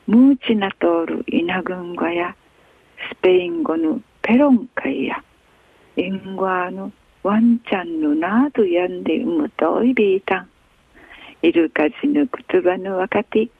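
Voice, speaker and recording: A woman radio host reading a newspaper article aloud in a slow, measured way, with an Okinawan accent. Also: mid-range voice, vocal fry, slightly rough, no echo. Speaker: radio news reader. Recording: tinny phone-like radio sound.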